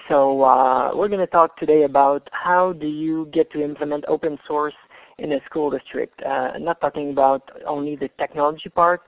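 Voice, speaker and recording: Man, webinar presenter, hesitant, gravelly voice, tinny phone sound. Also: on the high side, no echo. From Canada. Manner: halting, hesitant delivery. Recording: dry tinny phone line.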